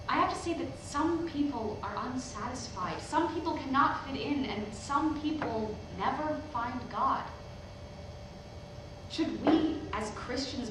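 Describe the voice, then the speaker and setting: In a very reverberant, noisy hall, an earnest young preacher's daughter acted by a woman addresses a congregation. Her voice is on the high side and far from the mic. She is halting and nervous, yet defiant, as part of a staged play. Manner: halting, hesitant public address with rhetorical questions. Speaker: stage actor in a staged reading. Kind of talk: sermon in a staged play reading. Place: indoor hall, recorded from the audience. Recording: very echoey hall, distant microphone, noisy.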